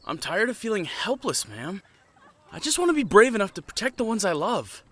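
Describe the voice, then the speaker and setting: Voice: medium pitch, very animated, brisk, fairly bright tone. An actor voicing a timid, stammering young male recruit in an audio drama, hesitant but eager to be brave. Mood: nervous, earnest. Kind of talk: scripted audio drama acting.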